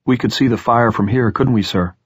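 A man's voice: mid-range voice, a little fast, husky, no room sound, pitched above the performer's own voice, close to an everyday voice. A man voicing a young schoolboy in an audiobook, earnest, respectful and eager, with a deferential manner.

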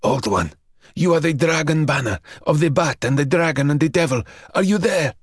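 A man's voice: medium pitch, completely flat delivery, a little fast, fairly bright tone, breathy edge, no echo, studio quality. A man voicing an eager young man who pleads and bargains urgently. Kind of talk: audiobook character voice.

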